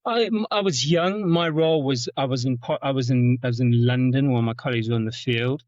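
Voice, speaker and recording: A middle-aged man with a Zimbabwean-South African accent, telling stories as a podcast guest, often repeating words and jumping up in pitch for anecdotes. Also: brisk, a little mellow, no echo. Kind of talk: storytelling in conversation. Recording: dull, dry lo-fi sound.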